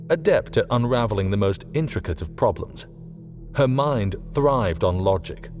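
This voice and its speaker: A man's voice: fairly low pitch, a little mellow. A man reading scripted fiction as an audiobook narrator. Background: faint music.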